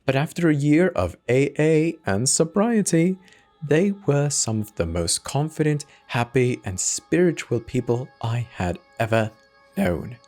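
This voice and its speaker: A male storyteller reads a scripted horror tale in a dramatic style. His pitch is middling and moves in a lively way. Music plays clearly behind him. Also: measured pace.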